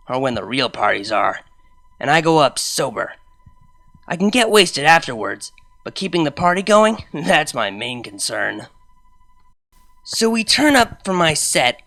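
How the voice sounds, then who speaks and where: A woman's voice: low voice, bright, forceful tone, full character voice. A woman voicing a brash young unicorn nightclub DJ, telling a fan fiction story in chatty, slangy, sardonic asides. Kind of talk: first-person fan fiction narration.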